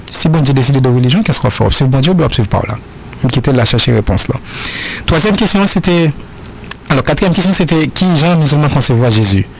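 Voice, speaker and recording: A man's voice: medium pitch, slight background noise, low-quality recording. Earnest Haitian man answering questions. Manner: earnest, repetitive, halting phrasing.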